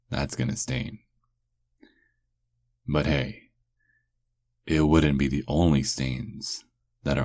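An extremely low, husky male vampire played by a man murmurs to his lover in an intimate ASMR roleplay. He is doting and hungry, soothing as he goes, and speaks very slowly with a gravelly rasp. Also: a little mellow, heavily acted.

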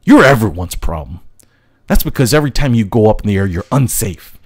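An actor playing a cold, measured male rival fighter pilot. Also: lowish voice, sing-song, no echo, clean studio sound. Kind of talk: 1980s film acting.

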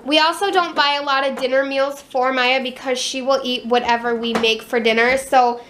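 High-voiced young American woman vlogging. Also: steady tone, fairly bright tone. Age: 20s. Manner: lists items in short, halting phrases. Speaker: family vlogger. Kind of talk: casual show-and-tell vlog narration. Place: home kitchen. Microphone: handheld camera at arm's length. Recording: noisy handheld camera audio in a kitchen.

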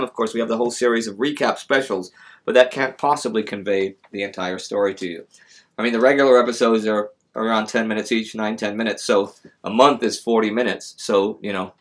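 Middle-aged American man, casual host. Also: on the low side. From United States. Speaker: live stream host. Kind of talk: casual chat, answering audience questions. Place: small indoor room, seated.

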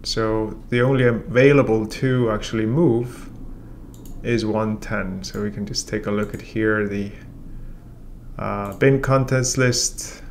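A man in his forties teaching a software tutorial in a relaxed, step-by-step way, with frequent ums. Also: on the low side, creaky, slight background noise. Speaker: software trainer. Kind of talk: casual screen-share tutorial. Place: room with a brick wall and whiteboard, then voice-over of screen recording.

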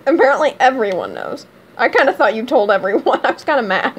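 A woman's voice: on the high side, big pitch swings, fast talker, breathy edge, low-quality recording. A teenage girl chatting and teasing her sister in a dim bedroom, filming on a phone at arm's length, giggling often. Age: teens. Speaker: vlogger. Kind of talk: casual chat. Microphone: phone held at arm's length.

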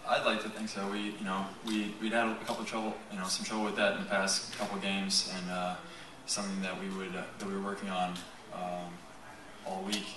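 Man at a postgame presser, low voice, halting with fillers; roomy far-off sound and room noise. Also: little pitch movement. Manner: halting, many restarts and fillers. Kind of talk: postgame press conference answers.

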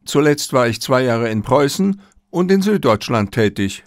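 A man's voice: mid-range voice, big pitch swings, moderate pace, no echo, studio quality, close to an everyday voice. A man reading a courteous, reserved middle-aged Japanese diplomat with formal, polite wording in an audiobook. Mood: formal, explanatory.

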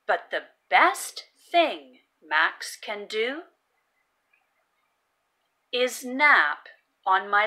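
A woman's voice: a little high, sharp tone. A woman reading a children's story aloud as host, expressively and emphatically, sounding out words for young learners.

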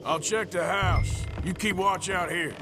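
An actor playing a stoic, tough American outlaw in a video game, speaking bluntly in a folksy rustic drawl. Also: male voice, sits high, steady tone, unhurried. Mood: aggressive. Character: steady, stoic tough male outlaw gunslinger. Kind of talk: video game dramatic dialogue. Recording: loud music, horse hooves behind.

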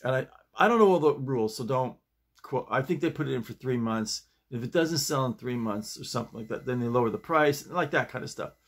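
An older man in his sixties or beyond chatting casually to camera in a folksy, halting way, leaning on repeated emphatic phrases. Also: medium pitch, expressive. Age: 60s or older. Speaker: vlog host and amateur playwright. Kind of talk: casual rambling chat to camera. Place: small home study, indoors.

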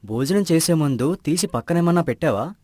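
A man plays A calm, inquisitive village friend from an animated moral tale. His voice is a touch high and he questions with patient reassurance, in a dry recording. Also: brisk, no room sound. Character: level-headed village friend investigating patiently. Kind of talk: animated moral story dialogue.

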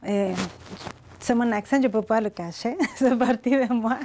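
Slow-talking woman teacher with Mexican accent. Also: a little high, a little mellow, a little hiss or hum. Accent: Mexican Spanish accent in French. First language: Spanish. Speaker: language teacher. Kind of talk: televised beginner Spanish lesson. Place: indoor studio set with chalkboard. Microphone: lapel.

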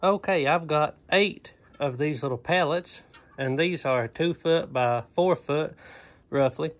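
A man's voice: fairly high pitch, measured pace, lo-fi sound. A man, chatting easygoing and folksy about a barn project, with plenty of ums and uhs, recorded on a handheld phone in a wooden barn. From Eastern Kentucky. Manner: easygoing, folksy, with many "um" and "uh" fillers. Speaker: homesteader vlogger. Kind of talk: casual DIY vlog talk. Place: inside an old wooden barn. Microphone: phone camera at arm's length.

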